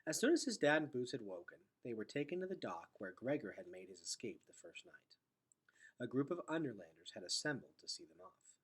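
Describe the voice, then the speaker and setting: A man's voice: mid-range voice, big pitch swings, fairly quick, slightly rough. A man hosting a read-aloud and narrating a storybook expressively.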